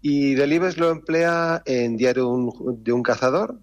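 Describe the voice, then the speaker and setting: A man's voice: medium pitch, a little slow, no echo, lo-fi sound. A man, chatting on the radio and explaining a word with jokes. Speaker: radio word-of-the-week contributor. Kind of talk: casual radio chat. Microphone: studio microphone.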